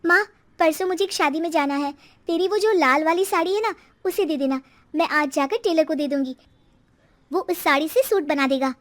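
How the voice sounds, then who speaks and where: A woman's voice: very high voice, normal speed. A woman voicing a demanding, petulant college-age daughter in a moral story, whiny and insistent.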